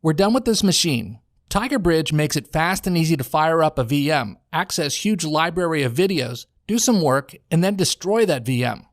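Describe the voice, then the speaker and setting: An American male voice-over narrator with a slightly high voice, recorded dry in a home studio. He reads a scripted software walkthrough in a measured, instructional manner. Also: animated, dry recording.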